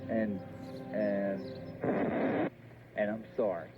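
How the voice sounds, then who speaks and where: A man's voice: fairly low pitch, fairly even intonation, very slow, over loud music. A male announcer speaking over a shortwave radio broadcast. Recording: muffled shortwave radio with clear noise.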